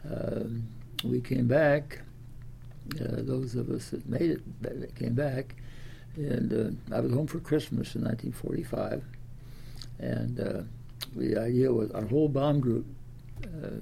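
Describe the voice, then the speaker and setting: A man's voice: mid-range voice, fairly even intonation, measured pace, vocal fry, dark tone, a little hiss or hum. A man of ninety from Iowa, telling his life story in an oral history interview, rambling with many fillers and restarts. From Des Moines, Iowa. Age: 90s.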